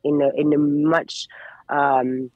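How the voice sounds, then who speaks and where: Husky woman with a French-English accent. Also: deep voice, little pitch movement, slow, no room sound, phone-quality sound. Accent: French with English mix. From France. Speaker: actress guest. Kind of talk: radio interview chat.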